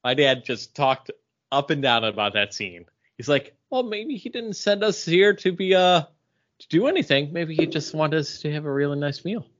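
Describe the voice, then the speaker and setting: A man co-hosting a podcast, chatting casually in an animated, rambling way. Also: fairly high pitch, moderate pace. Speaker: podcast co-host. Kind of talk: casual pop culture and beer chat. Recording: dull, lo-fi podcast audio.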